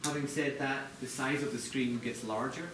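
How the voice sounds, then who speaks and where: A man presenting product design live to a group, explaining in a halting way with corporate phrasing. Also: mid-range voice, fairly even intonation, a bit bright. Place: large echoey indoor venue, apparently a hotel. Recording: echoey noisy room, distant mic.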